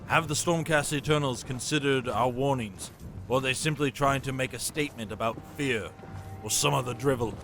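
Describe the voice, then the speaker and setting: A man's voice: medium pitch, normal speed, soft background music, lightly acted. A man playing a dutiful, dryly frank sergeant in a fantasy audio drama, with formal military address. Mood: dry, respectful.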